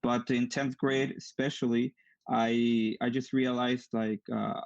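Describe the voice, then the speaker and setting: A man's voice: medium pitch, little pitch movement, unhurried, gravelly, no room sound, lo-fi sound. A young man from Mexico with a strong Mexican accent, a Spanish native speaker answering earnestly as an interview guest. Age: young adult. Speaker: guest, engineering student. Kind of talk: online interview.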